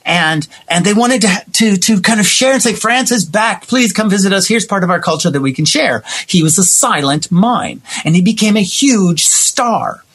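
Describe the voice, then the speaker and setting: A middle-aged American man explaining things in an animated, conversational way, with a theatrical storyteller's habit of acting out little quotes. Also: high-pitched, moderate pace, hardly any pauses, slightly rough. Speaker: guest, theater playwright, actor and director.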